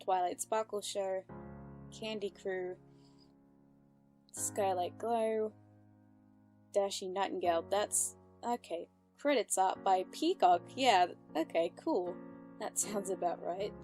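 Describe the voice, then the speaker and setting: A woman's voice: mid-range voice, takes it slowly, pauses often, a little mellow, faint music behind. A woman hosting casual reaction commentary, chatty and upbeat, full of fillers and self-corrections. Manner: giggly, upbeat. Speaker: reaction channel host.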